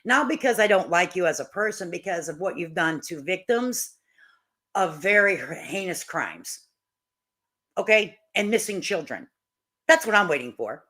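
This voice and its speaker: A woman's voice: fairly low pitch, lively intonation, moderate pace, fairly bright tone. A woman doing casual reaction commentary as a channel host, with a blunt, mocking, folksy and salty way of talking.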